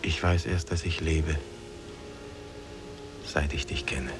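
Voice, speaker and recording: An ardent adventurer speaks earnestly in a very deep voice. It is vintage radio play acting, with loud music behind. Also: male voice, fairly even intonation, slow pace. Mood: tender, enraptured. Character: Abu Mohammed, adventurous male hero, ardent lover.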